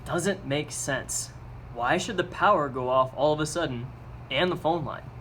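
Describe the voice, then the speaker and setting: A man's voice: on the high side, moderate pace, fairly bright tone, a little hiss or hum, lightly acted. A man playing a level-headed suburban neighbor who reasons things out, in an amateur read-aloud of a television script. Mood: puzzled.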